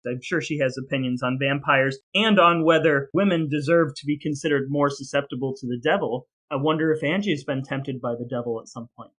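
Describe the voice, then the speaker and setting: A man's voice: medium pitch, a little fast, hardly any pauses, a bit bright, low-quality recording. A man with an American accent chatting casually and comedically as a podcast co-host, telling things in a wry, jokey way. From Elmore, Ohio, United States.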